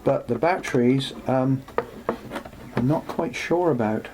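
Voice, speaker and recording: A man presenting an unscripted show-and-tell in a workshop, speaking haltingly as he thinks aloud. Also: medium pitch. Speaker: presenter showing vintage electronics for restoration. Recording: clearly noisy, with background hum.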